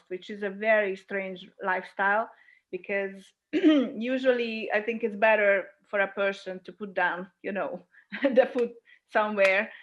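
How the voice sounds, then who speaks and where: A woman's voice: medium pitch, muffled recording. A woman, a filmmaker guest answering interview questions reflectively, with many fillers. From Hungary.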